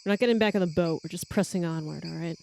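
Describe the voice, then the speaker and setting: A woman's voice: lowish voice, racing, vocal fry, a little mellow, slight background noise. A woman voicing a bossy, protective, sardonic adventurer in a tabletop game, speaking in a firm, wry, no-nonsense way. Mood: firm, insistent.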